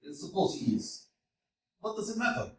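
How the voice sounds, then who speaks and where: A man voicing an older landowner and father who calms a quarrel in an offhand, soothing way, recorded at a distance in an echoey hall. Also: high voice, moderate pace. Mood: placating.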